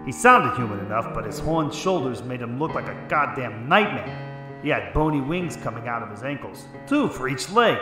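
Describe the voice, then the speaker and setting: A man's voice: mid-range voice, sing-song, normal speed, close to an everyday voice. A man playing a hard-boiled detective, telling his story in terse, dramatic first-person noir narration. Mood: tense recounting. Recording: echoey room, music underneath. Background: music.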